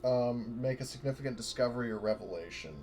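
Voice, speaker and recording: A man's voice: medium pitch, quick pace, crowd noise. A man talking casually and swearing now and then as a player in a tabletop roleplaying session. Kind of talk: casual game-session chat. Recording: clearly noisy background hiss.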